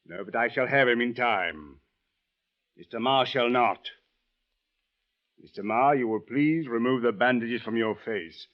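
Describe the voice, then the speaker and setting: Stern male police official played by an actor, measured formal wording, firm authority, vintage radio drama, muffled sound. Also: medium pitch, natural voice. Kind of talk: 1940s radio drama acting. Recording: muffled old radio broadcast.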